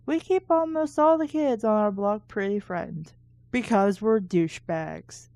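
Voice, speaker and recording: A woman's voice: medium pitch, unhurried, darkish tone, dry recording. A woman hosting a book reading show, reading aloud casually and breaking off for sarcastic, critical asides.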